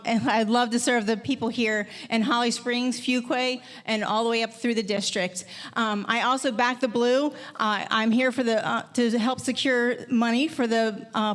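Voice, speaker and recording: An older woman introduces herself as a political candidate in a campaign speech. Her voice sits a little high, and she talks in a plain, earnest way.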